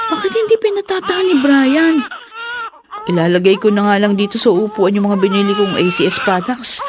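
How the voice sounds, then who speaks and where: A woman's voice: medium pitch, lightly acted. A woman playing an overworked, caring young wife and mother in a Tagalog radio drama, with a plaintive manner. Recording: clearly noisy background.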